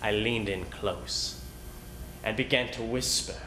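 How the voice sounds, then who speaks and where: A man giving an intense, deliberate dramatic reading of a narrative. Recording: roomy echo, faint rumbling noise.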